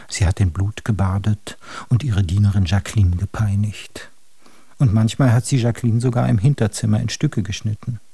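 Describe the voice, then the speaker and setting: A man's voice: on the low side, mellow, breathy edge, no room sound. A man playing the grandiloquent storyteller of a grim, absurd fairy tale, narrating a scripted radio drama straight to the listeners. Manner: grandiloquent, addressing listeners directly.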